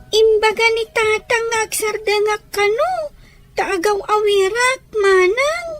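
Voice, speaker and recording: A woman's voice: very high voice, measured pace, fairly bright tone, faint music behind. A woman playing an anxious, plaintive schoolgirl, the younger sister, in a radio drama with a Filipino accent. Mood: worried.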